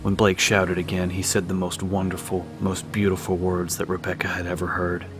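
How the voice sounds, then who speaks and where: Very deep-voiced male narrator with music behind. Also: completely flat delivery, moderate pace. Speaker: audiobook narrator. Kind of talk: dramatic scripted narration.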